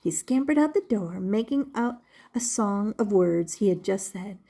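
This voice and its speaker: An older woman reading a children's story expressively, in a deliberate manner suited to young listeners. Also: mid-range voice, expressive, gravelly, dark tone. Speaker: storybook reader.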